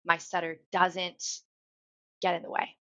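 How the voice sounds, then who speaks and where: A woman's voice: on the low side, monotone, measured pace, sharp tone. A woman who stutters, repeating words and restarting phrases, talking as a teacher guest in a casual podcast conversation. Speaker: elementary school teacher, guest. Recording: dull lo-fi audio.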